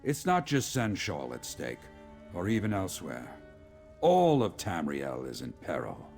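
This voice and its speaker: A man playing a remorseful, resolute middle-aged general in a video game, with a gravelly voice and formal, measured military speech. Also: low-pitched, wildly swooping pitch, darkish tone, faint music behind, full character voice. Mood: grim, determined. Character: remorseful, resolute veteran male general, father of a grown daughter. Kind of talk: scripted video game character dialogue.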